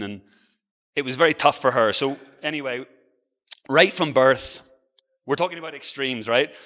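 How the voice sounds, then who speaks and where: A man's voice: medium pitch, pushed and bright. A man in his early forties with an Irish accent, giving an informal, self-deprecating motivational talk, telling personal stories conversationally. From Ireland. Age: early 40s. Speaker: travel blogger and guest speaker. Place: conference hall on stage. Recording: reverberant hall, long echo.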